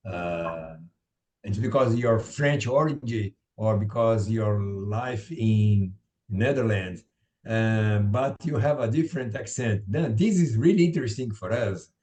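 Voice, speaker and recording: Hesitant low-voiced Brazilian man. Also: dark tone. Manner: hesitant, searching for words. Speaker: student. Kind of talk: casual online class chat.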